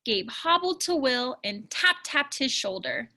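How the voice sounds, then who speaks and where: A woman reads a rhyming children's story with expression. Her voice is fairly high and bright, and she takes her time over each line. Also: very animated, unhurried, gravelly. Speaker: story reader. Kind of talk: children's storybook read-aloud, rhyming scripted narration.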